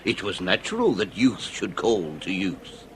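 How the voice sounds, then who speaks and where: A man's voice: low voice, very animated, a little slow, slight background noise. A man acting a shrewd, relentless Victorian police inspector in a period radio drama, with formal, declamatory delivery. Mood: measured, accusing. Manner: formal, declamatory, theatrical interrogating. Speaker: actor.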